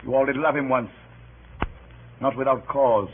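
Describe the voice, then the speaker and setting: An actor playing a grieving Roman orator stirring a mob, in theatrical, declamatory old-time radio Shakespeare style. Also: male voice, medium pitch, moderate pace, close to an everyday voice. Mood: impassioned, ironic. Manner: theatrical, declamatory, swinging from hushed grief to cries. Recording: muffled, hissy old radio recording.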